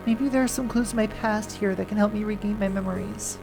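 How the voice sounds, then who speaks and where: A woman's voice: medium pitch, steady tone, fairly quick, vocal fry. A woman streaming a game, her voice very nasal and stuffed up from a winter cold. She reads the on-screen lines plainly in the first person and breaks off to chat with her viewers. Faint game music plays underneath. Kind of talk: casual chat with chat, reading game text aloud.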